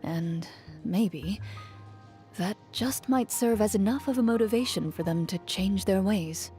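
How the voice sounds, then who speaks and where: A woman playing a composed, dutiful young female champion duelist in a video game, speaking in a formal, measured, reserved way. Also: medium pitch, dark tone, natural voice. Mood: composed, reflective. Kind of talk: video game character voice lines, reflective monologue. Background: music under the voice.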